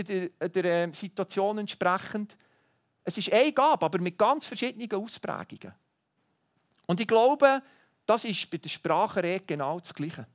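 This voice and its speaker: A man preaching a sermon, earnest and teaching, thinking aloud with small restarts. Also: sits high. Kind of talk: sermon to a congregation.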